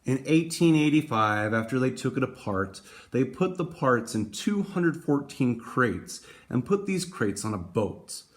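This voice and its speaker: A man hosting a read-aloud for schoolchildren, speaking in a deliberate storytelling way to young listeners. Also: medium pitch, expressive. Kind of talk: expressive children's picture book read-aloud.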